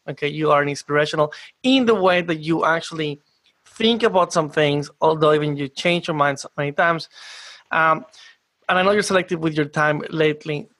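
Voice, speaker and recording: A podcast host with a South American Spanish accent and a slightly high voice speaks warmly and effusively. His phrasing is loose and chatty as he praises his guest and signs off. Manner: effusive, friendly, loose wording. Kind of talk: casual podcast hosting.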